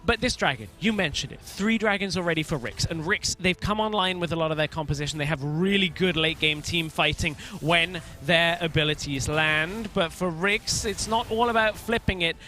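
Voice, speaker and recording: A man doing play-by-play esports commentary who gets excitable and shouts at big plays. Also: fairly high pitch, normal speed, few pauses. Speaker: esports caster.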